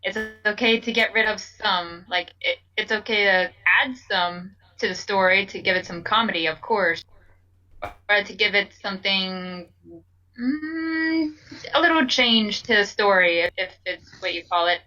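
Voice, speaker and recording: A woman in a casual group reaction chat, halting and searching for her words as she makes a point. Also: medium pitch, sharp tone, distant mic, highs cut off.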